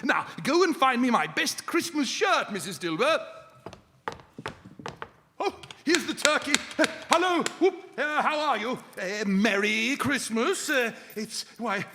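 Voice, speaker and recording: Reformed old miser, giddy, grateful, high-pitched, stammering exclamations, live radio drama, long hall reverb. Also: male voice, sing-song, takes it slowly, pushed and bright, slight background noise, exaggerated voice. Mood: jolly. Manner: stammering, breathlessly exclamatory, theatrical. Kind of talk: live radio theatre acting.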